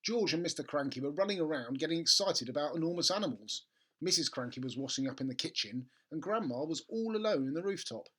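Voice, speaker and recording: Casting: children's storybook narrator, theatrical and expressive, very lively intonation, bright husky voice. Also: medium pitch, fast talker. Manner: animated, theatrical. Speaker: storybook reader.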